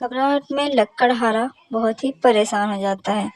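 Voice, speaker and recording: A woman's voice: on the high side, normal speed, low-quality recording. A woman narrator reading a scripted children's story about animals.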